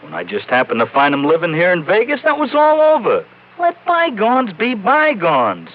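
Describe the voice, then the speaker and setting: An actor playing a slangy, defensive small-time American ex-mob bookie in a vintage radio crime drama. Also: male voice, high voice, huge pitch swings, moderate pace. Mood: agitated, defensive. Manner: slangy gangster talk, stammering, protesting. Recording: muffled old radio recording.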